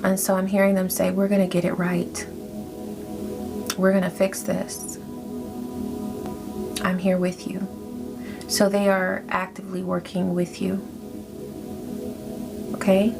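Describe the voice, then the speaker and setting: A woman giving a spiritual tarot reading to her viewers, speaking in a soft, affirming way and drawing out her phrases. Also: mid-range voice, completely flat delivery, slow, creaky, pauses often. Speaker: tarot reader. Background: background music.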